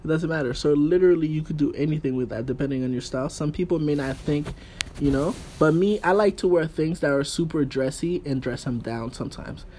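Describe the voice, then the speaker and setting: A man chatting casually and informally as a guest, using relaxed slang as he gives his opinions. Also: fairly high pitch, a little fast. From Haitian background. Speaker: podcast guest. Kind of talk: casual chat about fashion. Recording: clear background room noise.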